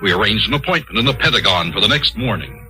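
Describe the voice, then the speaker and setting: An actor playing an ambitious, excitable army colonel telling his tale in a 1950s radio drama. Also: fairly low pitch, fast talker, poor audio. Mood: dramatic narrating. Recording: old 1950s radio recording, music behind.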